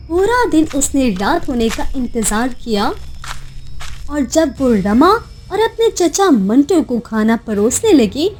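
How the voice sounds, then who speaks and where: A woman narrating a scripted animated moral story. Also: sits high, exaggerated voice.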